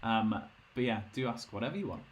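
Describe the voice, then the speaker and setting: A man's voice: medium pitch, lively intonation, quick pace. A man hosting a live stream, hesitant, repeating words as he passes on viewers' questions. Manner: hesitant, repeats words, relays chat comments. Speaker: host and chat moderator.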